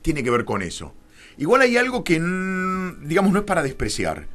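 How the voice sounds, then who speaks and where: A man's voice: on the high side, wildly swooping pitch, normal speed, a bit bright. A man with a Rioplatense Argentine accent, a radio guest explaining a theory in an emphatic, digressive, conversational way, often correcting himself.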